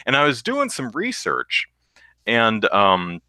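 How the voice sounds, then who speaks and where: A man with a deep bass voice, co-hosting a podcast in casual chat, rambling with lots of restarts. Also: wildly swooping pitch, takes it slowly, sharp tone, no echo. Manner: rambling, self-interrupting with restarts, sings low demo notes. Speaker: podcast co-host.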